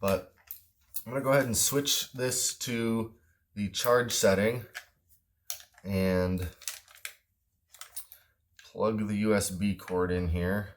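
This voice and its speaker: A man's voice: on the low side, fairly even intonation, takes it slowly, pauses often, slight background noise. A man giving a casual hands-on product review in an offhand, plain-spoken, slightly hesitant way. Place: indoors at a wooden table.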